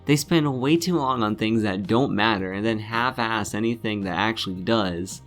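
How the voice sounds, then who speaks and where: A man's voice: on the low side, moderate pace, soft background music. A man delivering scripted game review commentary in a scornful, sardonic manner, swearing freely.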